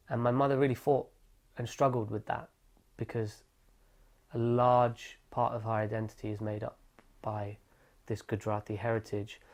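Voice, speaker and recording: A young man from England recounting his childhood in an oral history interview, thoughtful and reflective, often pausing to correct himself. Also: fairly low pitch, darkish tone.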